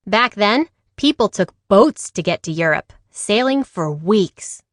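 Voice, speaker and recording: Animated, unhurried woman reading a storybook. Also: mid-range voice, dry recording, studio quality. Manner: animated, acts out dialogue. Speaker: read-aloud storyteller. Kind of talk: expressive children's picture-book read-aloud.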